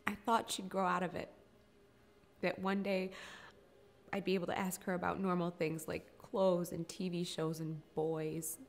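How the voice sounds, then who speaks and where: A woman's voice: lowish voice, little pitch movement, a little slow. A woman performing a dramatic stage monologue as a grieving, regretful older sister, blunt and defensive.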